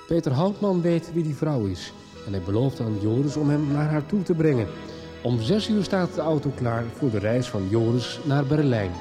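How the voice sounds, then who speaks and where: Music plays clearly under a man narrating a radio serial recap. His voice sits at a middle pitch, and he reads scripted storytelling lines as the programme's narrator. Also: animated, moderate pace.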